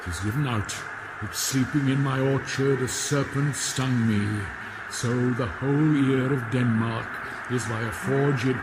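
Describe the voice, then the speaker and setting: A man's voice: medium pitch, background noise. A man playing the tormented ghost of a murdered royal father in a radio drama, grave and portentous. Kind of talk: classical radio drama acting.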